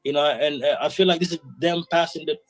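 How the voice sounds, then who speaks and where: A man's voice: on the high side, steady tone, fast, no room sound. A man guest in a casual interview, talking earnestly and reflectively, often saying "you know". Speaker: interview guest, sound system selector.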